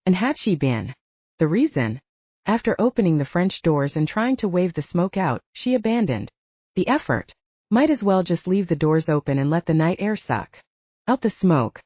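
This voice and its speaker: A woman narrating an audiobook in an expressive storytelling way. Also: on the low side, normal speed, breathy edge, dry recording. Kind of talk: scripted narration. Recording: dull lo-fi dry sound.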